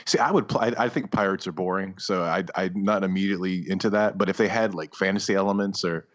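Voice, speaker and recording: A man's voice: low-pitched, fairly even intonation, quick pace. A man co-hosting a podcast, riffing casually about video games in loose, conversational phrases. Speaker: podcast co-host. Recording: slightly dull, lo-fi voice recording.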